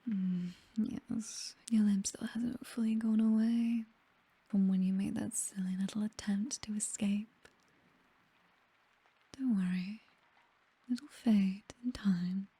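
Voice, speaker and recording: A woman with a British accent playing a possessive, seductive vampire mistress in a whispered, breathy ASMR roleplay, doting yet condescending and coolly menacing. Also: medium pitch, fairly even intonation, takes it slowly, pauses often, dark tone, no room sound, exaggerated voice. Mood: softly menacing.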